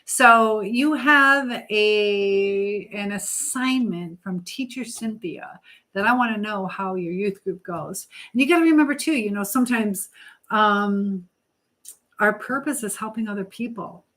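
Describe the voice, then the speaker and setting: A middle-aged woman with a medium-pitched voice hosts a casual live chat. She talks in a motherly, advising way, reading messages aloud and wandering haltingly from one thought to the next. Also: a bit bright. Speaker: live stream host.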